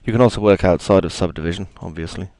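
A man with a gravelly, deep voice, chatting his way through a software tutorial with plenty of ums and asides. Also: fast, dry recording. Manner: chatty, self-deprecating, thinks aloud with ums. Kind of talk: screen-recorded software tutorial.

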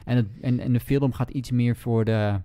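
A man's voice: mid-range voice, steady tone, normal speed, dark tone, clean tone, dry recording. A man, co-hosting a casual book talk, hesitant and often repeating and correcting himself. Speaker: book podcast co-host. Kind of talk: casual book and film discussion.

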